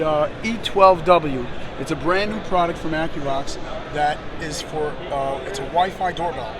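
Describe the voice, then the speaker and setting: High-voiced man demonstrating products amid loud noise. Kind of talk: informal spoken product demonstration. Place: busy trade-show exhibition floor. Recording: noisy trade-show floor recording. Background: loud ambient trade-show noise.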